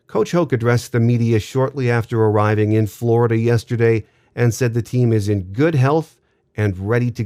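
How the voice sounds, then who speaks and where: A man's voice: medium pitch, darkish tone, no room sound, studio quality. An American man hosting a sports podcast, reading scripted narration. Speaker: podcast host.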